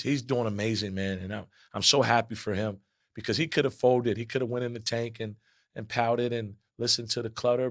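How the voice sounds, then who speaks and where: A man with a loud voice, an assistant basketball coach answering at a press conference, earnest and often repeating words as he gets going. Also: medium pitch, dry recording, clean studio sound.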